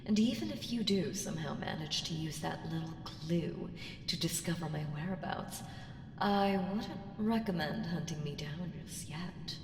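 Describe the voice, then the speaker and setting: A woman voicing an ancient, haughty evil entity in a slow ASMR audio roleplay, deliberate and teasing, slipping into breathy whispers. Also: low voice. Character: ancient evil female entity, powerful, haughty, coolly menacing patron. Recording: echoing long hall reverb, ambient hiss.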